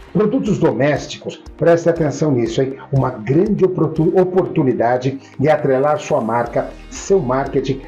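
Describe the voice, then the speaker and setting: High-pitched, effusive Brazilian man hosting an interview. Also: darkish tone, highs cut off. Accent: Brazilian Portuguese. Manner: effusive, exclaiming, repeating words for emphasis. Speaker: interview show host and professor. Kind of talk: interview hosting with addresses to viewers.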